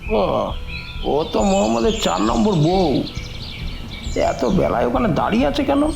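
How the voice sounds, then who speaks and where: A man's voice: high voice, big pitch swings, unhurried, vocal fry, roomy sound, noisy background, heavily acted. A man playing a nosy, snide local bystander in an audio drama, talking colloquially and full of insinuation. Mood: curious, surprised. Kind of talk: Bengali audio drama acting.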